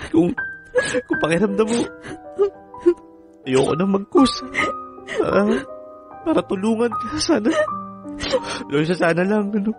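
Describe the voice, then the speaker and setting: Weary former seafarer husband, radio drama, pleading and halting, strong music bed. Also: male voice, high-pitched, lively intonation. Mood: apologetic, resolute. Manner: halting, pleading, repeats words. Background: background music.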